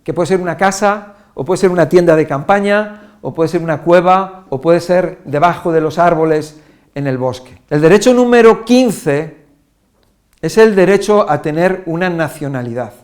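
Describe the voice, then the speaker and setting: A man's voice: a little high, animated, normal speed, a little mellow. A man from Spain with a Spanish accent, giving an informal lesson and listing example after example, with frequent restarts. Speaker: teacher.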